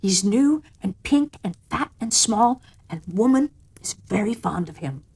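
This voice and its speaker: A woman's voice: medium pitch, expressive, a little slow, a little mellow, husky, full character voice. A woman voicing a little gossipy bat messenger in a storybook, informative and courteous. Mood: confiding.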